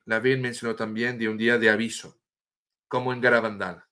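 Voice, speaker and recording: Bright-voiced French man on a video call. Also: mid-range voice. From France. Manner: earnest, restarts words while searching for Spanish terms. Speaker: author and guest. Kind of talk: impassioned storytelling on a video call. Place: home room with a bookshelf and statue. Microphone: webcam on a video call.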